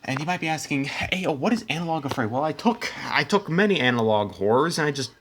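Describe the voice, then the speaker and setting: A man hosting a simulation with casual, rambling commentary, stumbling over words and dropping deadpan asides. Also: fairly high pitch, quick pace, slight background noise. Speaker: host narrating a simulation.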